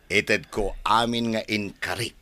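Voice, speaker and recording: A man's voice: fairly low pitch, fairly even intonation, unhurried, sharp tone. A man voicing a scheming, scornful young man in an audio drama who pays thugs to do his dirty work.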